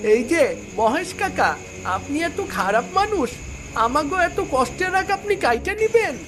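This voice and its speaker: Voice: high voice, very animated, measured pace, noisy background. An actor voicing an indignant young village child in a cartoon moral story, scolding, with a rural Bangladeshi Bengali dialect. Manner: scolding, repetitive.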